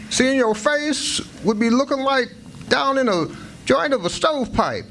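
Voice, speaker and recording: An actor voices a good-natured, naive runaway man in a stage radio play. The voice is very high and creaky, with a folksy Southern drawl. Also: lively intonation, a little slow, a bit bright, a little hiss or hum, heavily acted, low-quality recording. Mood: teasing. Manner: folksy rural Southern dialect. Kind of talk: live radio play acting.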